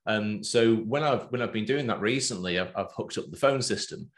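A man's voice: fairly low pitch, normal speed. Chatty English man, business host. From England. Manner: animated, talkative, loose with fillers. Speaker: podcast host and business coach. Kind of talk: casual business chat.

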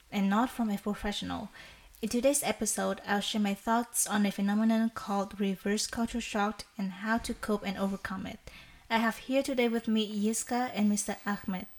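Woman, 19, from Vietnam, student podcast host, earnest, steady, mid pitch. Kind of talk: student podcast, partly read from a script.

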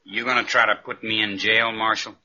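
An actor playing a cold-blooded, cocky gunslinger villain in an old radio western, with a taunting, folksy way of talking. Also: male voice, fairly low pitch, little pitch movement, fairly quick, bright, forceful tone. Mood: taunting, menacing. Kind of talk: 1950s radio western acting. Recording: dull old radio broadcast recording.

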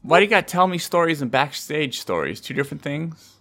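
A man's voice: a little high, animated, a little fast, sharp tone, soft background music. A man hosting a podcast in casual chat, teasing and often repeating himself. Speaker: podcast host.

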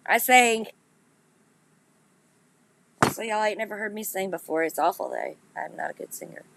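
Woman, podcast host, congested and nasal, raspy gritty voice, easygoing chat with listeners. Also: mid-range voice, expressive, moderate pace, a bit bright. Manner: chatty, laughs at herself, talks straight to listeners. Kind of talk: casual live chat with listeners.

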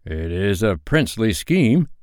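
A man's voice: fairly low pitch, very animated, a little slow, darkish tone, no room sound, studio quality. A man voicing a deferential, easily flustered pirate boatswain in a storybook, with an obsequious lilt. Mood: eager, scheming.

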